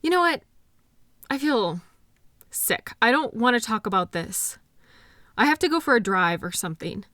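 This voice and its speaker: Hurt, mistrustful girlfriend voiced by a woman for relationship audio drama: very lively intonation, close dry sound, anxious and accusing. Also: on the high side, no room sound. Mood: upset, withdrawing. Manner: emotional, accusatory, halting. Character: hurt, anxious girlfriend with trust issues, arguing with partner. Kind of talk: intimate roleplay audio drama.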